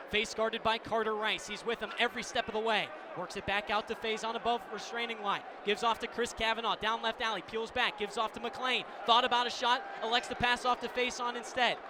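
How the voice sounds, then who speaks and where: A man calling live lacrosse play-by-play on the radio in clipped present-tense phrases that build with the action. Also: sits high. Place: live broadcast at a sports venue. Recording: noisy outdoor stadium ambience.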